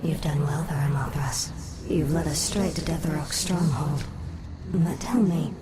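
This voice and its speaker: Game villainess role: imperious banshee queen, controlled, vengeful, somewhat low voice. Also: a little slow, very noisy. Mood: calculating. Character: vengeful undead elf queen, female, cold, cunning, commanding. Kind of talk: video game cutscene acting. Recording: game audio under loud music.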